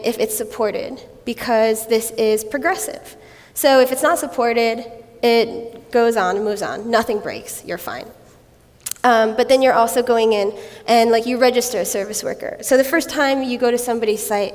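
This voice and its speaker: A woman with a raspy voice from a cold, giving a playful, self-mocking conference talk on stage and laughing often. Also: fairly high pitch, darkish tone, big-room sound. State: recovering from a cold. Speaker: conference speaker.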